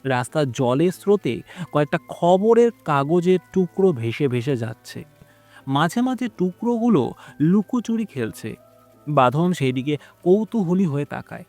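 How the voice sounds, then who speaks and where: A man tells a scripted horror story over background music. His voice sits a little high and swings widely in pitch. The delivery is dramatic and expressive, that of an audio story narrator. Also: normal speed. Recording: music behind the voice.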